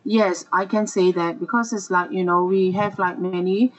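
A speaker from Myanmar, a refugee teacher, answering interview questions hesitantly while searching for words. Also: male voice, high-pitched, fairly even intonation, moderate pace, lo-fi sound.

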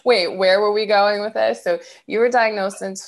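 A woman's voice: medium pitch, fairly even intonation, moderate pace. A woman from Ohio hosting a podcast, talking casually and rambling with fillers and laughs. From Ohio, USA. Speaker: podcast host. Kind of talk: casual conversation.

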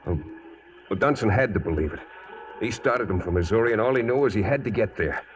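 An actor playing a terse, guarded young cattle drover with an American accent, curt and halting, in 1940s radio style. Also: male voice, fairly low pitch, animated, natural voice. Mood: pensive, halting. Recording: muffled, faintly noisy 1940s radio.

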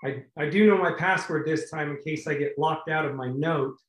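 A man hosts a community video call in an upbeat, excitable way, repeating himself and breaking into loud bursts. His voice sits a little high and sounds roomy and echoey. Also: normal speed. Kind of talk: enthusiastic casual chat.

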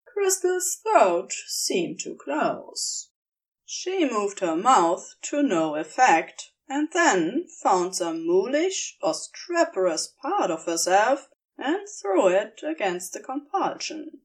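A woman's voice: medium pitch, sing-song, slow pace, distant mic. A woman reading fiction aloud as a scripted story narrator. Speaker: audiobook narrator.

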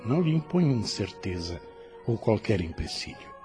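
An actor playing a gentle male angelic messenger who speaks solemnly and tenderly in a radio drama. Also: on the low side, very animated, normal speed, soft background music. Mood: solemn kindness. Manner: solemn, tender, formal address.

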